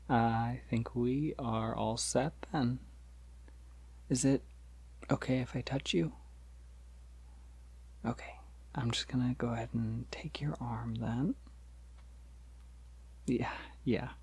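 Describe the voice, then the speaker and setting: A polite, slightly awkward male vampire, played by a man in a soft-spoken ASMR roleplay. He talks slowly and hesitantly, reassuring the listener. The voice is breathy and husky, with a crackle of fry. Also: mid-range voice, full character voice. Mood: soothing, careful. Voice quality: breathy, often dropping into whisper. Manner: hesitant, reassuring, softly chuckling.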